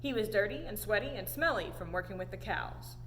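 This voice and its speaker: A woman reading a storybook aloud in an animated storytelling style. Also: medium pitch, a little fast. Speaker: story time reader. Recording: echoey hall, audible background noise.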